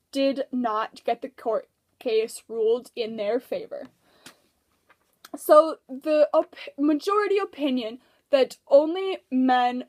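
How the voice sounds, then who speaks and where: High-pitched woman narrator. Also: darkish tone. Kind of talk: scripted educational narration.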